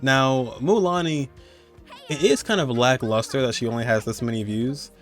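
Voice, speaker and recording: A man in his twenties giving casual, rambling commentary as he hosts a video countdown. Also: medium pitch. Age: 20s. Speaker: video host. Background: music under the voice.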